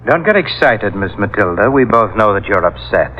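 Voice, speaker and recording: An actor playing a menacing, falsely polite murderous servant, formal and insinuating, in an old radio drama. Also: male voice, fairly low pitch, big pitch swings, normal speed. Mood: quietly menacing. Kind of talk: 1950s radio drama acting. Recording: dull, noisy old radio recording.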